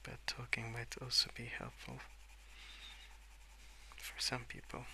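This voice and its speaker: Male ASMR host: hushed, whispery murmur with very crisp bright edge, unhurried rambling delivery. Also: medium pitch, completely flat delivery, rough recording. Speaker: host of an ASMR colouring channel. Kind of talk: soft-spoken ASMR rambling. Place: indoors at a wooden desk.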